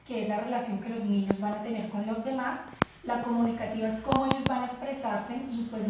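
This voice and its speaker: A woman teacher with a slightly high voice speaks on a panel. She explains steadily and leans on frequent fillers. The sound is very echoey and distant, with faint background noise. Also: muffled recording. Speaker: teacher, preschool education graduate. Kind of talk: academic panel discussion. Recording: echoey room, far from the mic.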